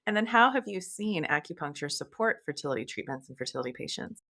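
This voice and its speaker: A woman's voice: deep voice, lively intonation, fast, a bit bright. A woman hosting an interview, asking poised, prepared questions. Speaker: host.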